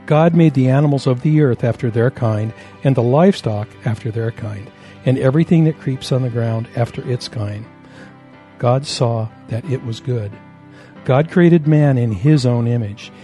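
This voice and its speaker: Mellow male story narrator. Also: medium pitch, normal speed, faint music behind. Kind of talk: scripted narration, children's story.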